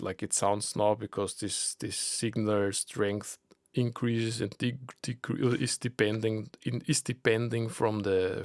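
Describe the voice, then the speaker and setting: Creaky-voiced man, halting non-native English speaker. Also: lowish voice, dry recording, clean studio sound. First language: not English. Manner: halting, searching for words, repeating himself. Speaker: amateur radio enthusiast presenting an idea. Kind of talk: informal unscripted explainer.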